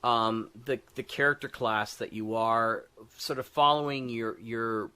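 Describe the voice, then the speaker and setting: Bright-voiced man thinking aloud. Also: mid-range voice, fairly even intonation, clean tone. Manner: halting, thinking aloud, often checks for agreement. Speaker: podcast co-host. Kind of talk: casual discussion of tabletop game design.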